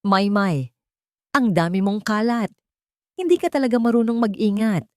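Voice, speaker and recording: A woman's voice: medium pitch, expressive, moderate pace, dry recording, clean studio sound, pitched above the performer's own voice, lightly acted. A woman voicing a scheming, two-faced stepmother in a story, menacing beneath false sweetness. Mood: cold anger.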